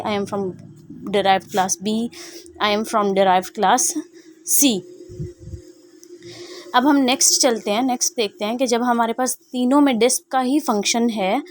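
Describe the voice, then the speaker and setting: A woman teaching programming in a screen-recorded tutorial, reading code output slowly word by word and asking rhetorical questions. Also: mid-range voice, darkish tone. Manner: rhetorical questions, frequent "ठीक है" check-ins. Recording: faint background noise.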